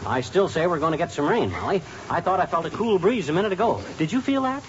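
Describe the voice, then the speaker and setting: An actor playing a folksy, wisecracking middle-aged husband in a 1950s radio sitcom, blustering and teasing. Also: male voice, on the high side, sing-song, fast, slight background noise. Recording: lo-fi old radio broadcast, studio audience laughter.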